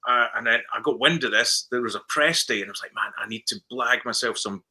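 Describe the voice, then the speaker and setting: A man's voice: mid-range voice, quick pace, sharp tone. A Scottish man, a film director, chatting in an interview and telling stories in a loose, repeating way as he acts out what people said. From Scotland. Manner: chatty storyteller who repeats words and acts out dialogue. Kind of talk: casual interview chat.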